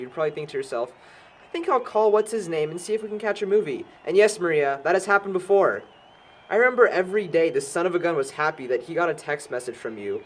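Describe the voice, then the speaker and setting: An actor playing a defensive, cynical young man in an amateur audio drama, arguing with sarcasm. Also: fairly high pitch. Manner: sarcastic, argumentative.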